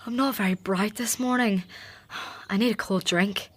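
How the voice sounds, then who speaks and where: A woman's voice: mid-range voice, moderate pace, a bit bright, lightly acted. A woman playing an anxious young sister. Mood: fretful. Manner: hesitant, fretful. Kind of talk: radio drama acting. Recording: radio play with faint music.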